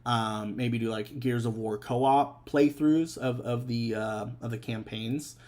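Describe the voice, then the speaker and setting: A man's voice: medium pitch, steady tone, slow pace, a little mellow. A young adult man hosting a casual live stream, rambling with many fillers and restarts. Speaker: streamer and host.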